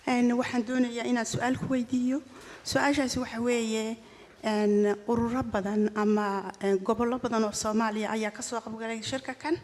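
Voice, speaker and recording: A woman's voice: a little high, flat delivery, moderate pace, a little mellow. A woman from northern Somalia asking a question at a press conference, earnest and hesitant. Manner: earnest, hesitant with many fillers. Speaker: audience questioner. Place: large conference hall. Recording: long hall reverb.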